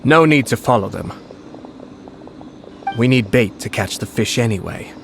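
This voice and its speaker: An actor voicing a poised, shrewd young noble commissioner in an anime video game, speaking in a measured, formal, articulate way. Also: male voice, medium pitch, animated, gravelly, slight background noise. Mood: calm, calculating.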